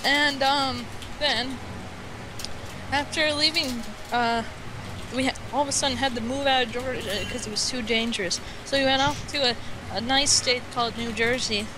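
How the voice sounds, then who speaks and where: A playful, halting speaker from the United States telling a rambling tall tale as a guest on an internet voice call. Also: high voice, fairly even intonation. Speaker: guest storyteller on a gaming voice call. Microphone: Skype call. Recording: clearly noisy internet voice call.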